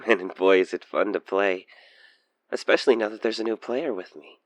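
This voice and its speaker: Low-voiced obsessed stalker killer, acted by a man. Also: normal speed, no echo, natural voice. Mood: taunting. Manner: theatrical, teasing, slipping between murmurs and whispers. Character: obsessed male stalker killer, playfully menacing, infatuated, taunting. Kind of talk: intimate audio roleplay monologue.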